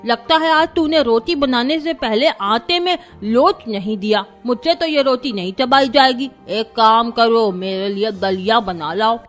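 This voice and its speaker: A woman's voice: fairly high pitch, soft background music, natural voice. A woman playing a demanding, complaining elderly grandmother-in-law in a cartoon family drama, with a grumbling manner. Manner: slow, grumbling.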